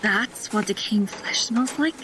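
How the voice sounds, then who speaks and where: A woman's voice: mid-range voice, a little slow, natural voice. A woman voicing a new nurse, tentative, earnest, kind, faint background noise. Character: hesitant, kind-hearted young female nurse. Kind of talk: audio drama acting.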